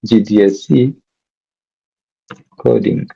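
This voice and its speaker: A man's voice: fairly low pitch, slow, gravelly, dark tone, slightly rough, lo-fi sound. A man from DR Congo with a French accent, teaching a live coding workshop and often stopping to correct himself mid-sentence. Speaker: workshop instructor.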